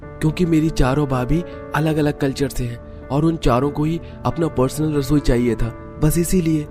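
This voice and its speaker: A man voicing a calm young newlywed husband in a cartoon drama, gently explaining. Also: fairly high pitch, normal speed, over music, voice pushed up, lightly acted. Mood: patient. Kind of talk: Hindi cartoon family drama.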